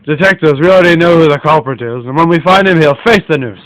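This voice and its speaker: Man as a fast-talking, haughty rich gentleman. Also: on the high side, no echo, lightly acted, low-quality recording. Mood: dismissive. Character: haughty, dismissive wealthy gentleman of the 1940s. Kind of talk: amateur internet radio play acting.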